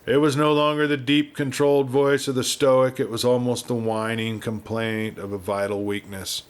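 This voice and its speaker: A man's voice: mid-range voice, level tone, smooth. Man narrating a dramatic western tale. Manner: measured, dramatic storytelling delivery. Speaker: audiobook reader. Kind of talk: scripted western novel narration.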